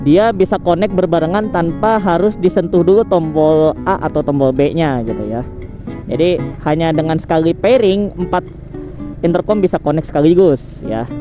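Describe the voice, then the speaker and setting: A man with an Indonesian accent hosting a casual product comparison vlog, talking in a slangy, conversational way with frequent tag words. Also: on the high side. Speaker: motovlogger and channel host.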